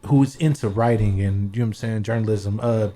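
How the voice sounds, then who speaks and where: A man co-hosting a relaxed studio podcast, talking loose and slangy. He keeps chiming in with quick agreement while the others talk. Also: on the low side. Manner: constant backchannel agreement, slangy. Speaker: podcast co-host. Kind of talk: casual interview chat.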